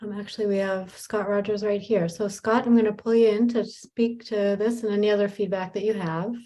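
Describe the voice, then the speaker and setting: A woman chairing a remote public hearing who briskly invites comments in an informal way. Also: medium pitch, fairly even intonation, dark tone. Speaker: meeting chair. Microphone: video call.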